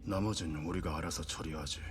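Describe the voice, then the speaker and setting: A menacing male gangster from a crime game, speaks in a low voice. His delivery is cold and extremely rapid. Clear background noise and ambience surround the dramatic cutscene audio. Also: fairly even intonation. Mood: cold, threatening.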